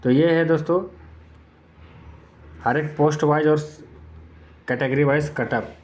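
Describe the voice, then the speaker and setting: A man's voice: a little high, fairly even intonation, unhurried, a little mellow, slight background noise. Man explaining step by step, informally. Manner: repetitive, step-by-step explaining with filler phrases. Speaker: exam-prep YouTube presenter. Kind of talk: informal explainer addressed to exam candidates.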